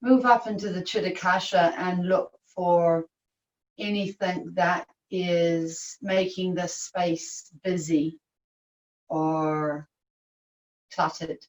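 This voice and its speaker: Female yoga nidra teacher, lowish voice, soothing guided meditation, very unhurried delivery, recorded in an echoey room. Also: steady tone, darkish tone. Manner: soothing, unhurried, long pauses. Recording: echoey room, distant microphone.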